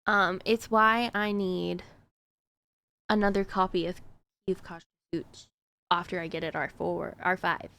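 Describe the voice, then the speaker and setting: A woman's voice: fairly low pitch, fairly even intonation, measured pace, pauses often, fairly bright tone. A woman streamer chatting casually while she plays, answering her chat with plenty of fillers and little laughs.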